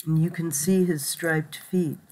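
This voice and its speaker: Slow-paced woman reading a picture book. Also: low-pitched, fairly even intonation, mellow, rough recording. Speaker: children's read-aloud storyteller.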